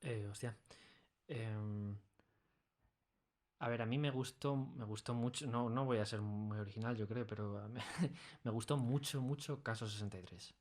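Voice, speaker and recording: Male guest, accent of Spain, lowish voice, informal and keen, casual interview chat. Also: steady tone, moderate pace, a little mellow. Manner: informal, enthusiastic. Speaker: audio fiction creator, interview guest.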